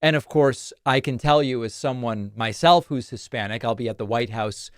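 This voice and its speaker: An emphatic, scornful male political commentator from Argentina, a native Spanish speaker, talking straight into a studio broadcast mic. His voice sits at a middle pitch, and the room is dry with no echo. He mocks the posts he quotes. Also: normal speed, clean tone.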